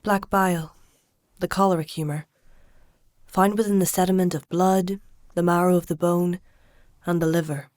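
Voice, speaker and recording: Woman as low-voiced, wry alchemist healer. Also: steady tone, darkish tone, no echo, studio quality, close to an everyday voice. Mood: brooding, quiet. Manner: measured, explanatory, dryly sardonic. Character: wry, learned female alchemist-healer, stubborn and principled. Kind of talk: dark fantasy audio drama acting.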